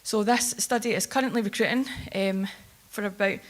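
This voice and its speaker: A woman researcher giving a plain-spoken conference presentation, steady but with filler ums. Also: medium pitch, moderate pace. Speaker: care home researcher. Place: conference hall.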